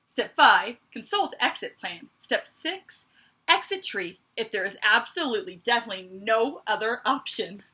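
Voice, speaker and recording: A woman reading a picture book aloud to children like a teacher, with expressive emphasis and chatty asides. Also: a little high, normal speed, slightly rough. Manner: expressive, dramatic emphasis, conversational asides to young listeners.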